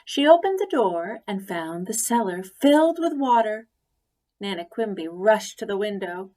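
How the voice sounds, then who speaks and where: A woman reading a children's story aloud expressively, deliberately, with pauses for emphasis. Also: mid-range voice, big pitch swings, dark tone. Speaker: story reader. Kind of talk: expressive picture-book read-aloud.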